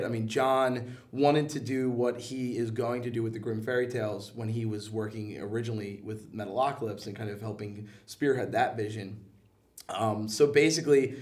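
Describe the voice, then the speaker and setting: A man with a medium-pitched voice chats casually as an interview guest, picked up a little way from the microphone. He sounds enthusiastic and talks in loose slang with a joking streak. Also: a little mellow. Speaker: guest, comic book and animation producer. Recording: voice somewhat far from the mic.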